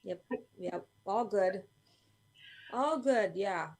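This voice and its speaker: A woman's voice: mid-range voice, extremely slow, a little mellow, slight background noise. A woman co-hosting a casual live show, easygoing and agreeable, filling in with frequent yeahs, speaking over a video call. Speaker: co-host. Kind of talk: casual live-stream chat.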